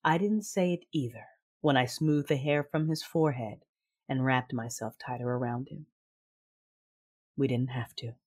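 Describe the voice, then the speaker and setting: An actress playing a proud, passionate but guarded woman warrior, narrating an audio drama in the first person in a breathy, intimate, measured way. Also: a bass voice, darkish tone. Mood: sensual, tender. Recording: music under the voice. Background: music.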